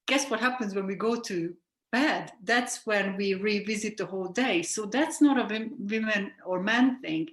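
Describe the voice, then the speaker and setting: Woman, interview guest, earnest and explanatory, medium pitch, roomy recording. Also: fairly bright tone. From Hungary. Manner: earnest, reflective, self-correcting. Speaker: sleep coach guest. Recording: roomy echo.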